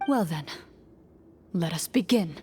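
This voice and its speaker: A woman's voice: lowish voice, animated, slow, dark tone, soft background music. A woman voicing a composed, solemn hunter who narrates as game master in a video game. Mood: grave, narrating.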